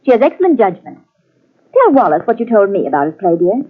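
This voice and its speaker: An actress in an old-time radio mystery playing a wealthy, dismissive wife with an airy theatrical manner. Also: female voice, medium pitch, very animated, quick pace. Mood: airy, firm. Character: wealthy, breezily dismissive wife who backs plays. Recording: tinny, band-limited old radio cassette transfer.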